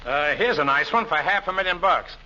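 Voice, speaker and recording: A man's voice: a little high, expressive, a little fast, bright, forceful tone. An actor playing a brash, glib insurance salesman and comic schemer in 1940s radio comedy style. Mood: pushy, glib. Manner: glib, wisecracking patter. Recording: noisy, muffled old radio recording.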